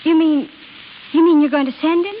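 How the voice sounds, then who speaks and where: An actress playing a polite, flustered young secretary in 1930s radio style, speaking hesitantly. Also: female voice, high-pitched, fairly even intonation, a little fast, natural voice. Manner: hesitant, stammering. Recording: tinny, hissy 1930s radio recording.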